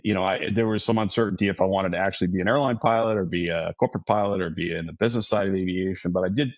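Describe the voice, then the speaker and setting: A middle-aged man from Massachusetts talks in a low voice, friendly and rambling, full of restarts and little fillers. The sound is dull and dry, like a remote lo-fi recording. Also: steady tone, rapid-fire, dry recording. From Massachusetts, outside Boston. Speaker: aviation insurance guest. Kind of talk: casual interview chat.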